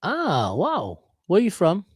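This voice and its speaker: A man's voice: high voice, wildly swooping pitch, a little slow, slightly rough, dry recording, studio quality. A man in his forties from Israel, chatting with strangers on a livestream and asking lots of questions. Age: 40s. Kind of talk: casual video chat. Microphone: desk camera mic.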